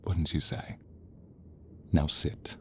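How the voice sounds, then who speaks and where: A man voicing a sadistic, theatrical sorcerer villain in an audio roleplay, silky and menacing, drawing out words and slipping into breathy whispers. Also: takes it slowly, natural voice. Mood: sly, hushed. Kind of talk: audio roleplay acting addressed to the listener.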